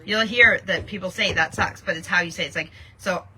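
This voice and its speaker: Bright-voiced Canadian woman, rambling chat. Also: on the low side, fairly quick, poor audio. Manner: rambling, chatty, many fillers. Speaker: podcast co-host. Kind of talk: casual chat.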